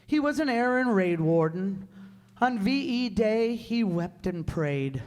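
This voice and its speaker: Man playing a high-voiced, deliberate senior angel. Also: animated. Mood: grave. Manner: measured, deliberate storytelling. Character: senior heavenly angel, patient storyteller briefing his underling. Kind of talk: radio play narration. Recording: echoey hall, long reverb.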